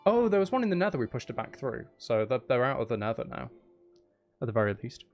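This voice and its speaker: A man with a mid-pitched voice hosts a casual gaming livestream over faint music. His intonation swings widely as he banters with viewers. He is playful and mock-dramatic, often poking fun at himself. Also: very animated, fast talker. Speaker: streamer hosting a live game stream.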